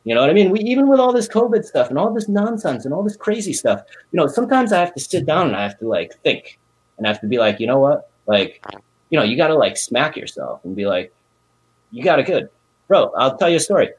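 A man's voice: fairly high pitch, very animated, fast talker, poor audio. A man in his thirties, born in Korea, talking in a casual, rambling way with lots of fillers. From South Korea. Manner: rambling, emphatic, lots of fillers like "you know" and "bro". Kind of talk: casual chat.

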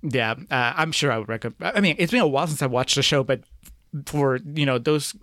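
A man's voice: medium pitch, fairly quick, a bit bright, no echo, studio quality. A middle-aged man from Peru chatting casually as a podcast guest, reminiscing in wandering, filler-laden sentences.

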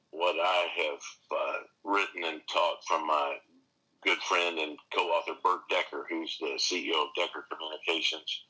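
A low-pitched man with a forceful, slightly husky voice, speaking as a pastor in an interview. He talks earnestly and keeps restarting his sentences, then leans hard into his key points. The delivery sounds like an unscripted conversation. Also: rough recording. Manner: earnest, many restarts, animated emphasis. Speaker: pastor and seminary teacher.

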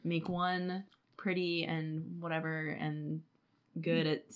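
A woman's voice: deep voice, level tone, slow. A woman co-hosting a casual book discussion, analysing characters in an informal, conversational way peppered with "like". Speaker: podcast co-host.

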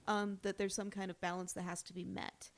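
A woman's voice: medium pitch, little pitch movement, a little fast. Woman podcaster chatting casually. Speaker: podcast co-host. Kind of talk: casual TV episode discussion.

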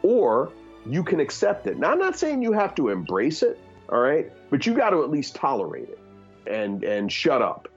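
A man's voice: a little high, huge pitch swings, normal speed, slightly rough, lo-fi sound, faint music behind. A man in his fifties giving candid opinions in conversation, earnest, with many fillers and restarts. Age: 50s. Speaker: guest.

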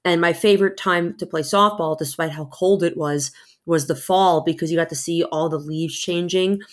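A woman's voice: lowish voice, steady tone, moderate pace. A young woman from New York, a visual effects artist, chatting as a podcast guest in a friendly, effusive way and laughing often. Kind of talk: casual interview chat.

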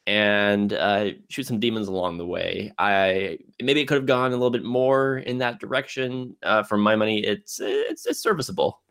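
A man hosting a casual film discussion, speaking haltingly and often repeating words as he works toward his point. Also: on the low side, a bit bright, clean tone. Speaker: film podcast host.

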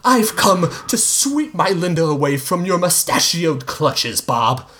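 A man's voice: a little high, sing-song, a little slow, pushed and bright, pitched above the performer's own voice, heavily acted. A man voicing a lovestruck health inspector who speaks in a grand, theatrical way. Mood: grandiose.

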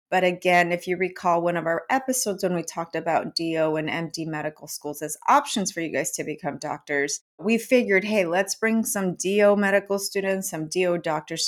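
A woman hosting an interview podcast, with an upbeat, encouraging way of talking. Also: on the low side. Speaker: podcast host.

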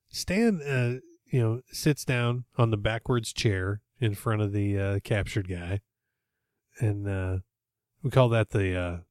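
A man's voice: on the low side, a little mellow, no echo, clean studio sound. A man hosting a podcast, casually recapping an episode in a rambling, jokey way. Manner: rambling, jokey, with quick put-on voices. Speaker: podcast host.

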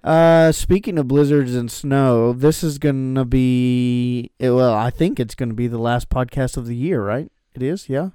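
Smooth-voiced teasing man. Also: mid-range voice, unhurried, few pauses, mellow, no room sound. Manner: dry teasing wit, jokey asides. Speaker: podcast co-host. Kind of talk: casual chat.

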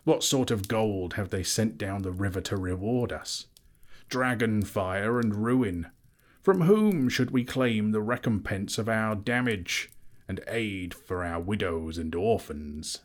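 A man voicing a wary, pompous old town master who speaks loud and clear in his own defence. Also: on the low side, measured pace, close to an everyday voice. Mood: indignant.

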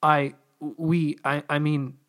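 A man's voice: on the high side, flat delivery, takes it slowly, fairly bright tone. A man voicing a flustered, chatty escapee from the underworld in a story, rambling with mock-formal politeness.

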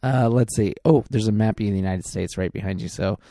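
Gravelly relaxed guy cohosting a podcast, teasing, mellow tone. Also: fairly low pitch, fairly quick, no room sound, studio quality. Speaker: podcast co-host. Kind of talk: casual chat.

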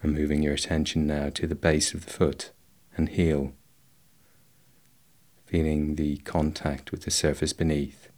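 A man guiding a meditation in a slow, measured, instructional way, leaving long pauses between phrases. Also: rumbling low, little pitch movement, a little mellow. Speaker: meditation guide. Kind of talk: guided body scan meditation.

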